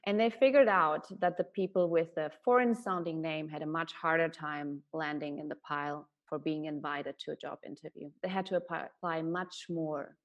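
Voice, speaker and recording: A woman's voice: low voice, fairly even intonation, normal speed, hardly any pauses, muffled recording. A woman from Germany giving an earnest, explanatory talk on bias and inclusion. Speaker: speaker on diversity and inclusion.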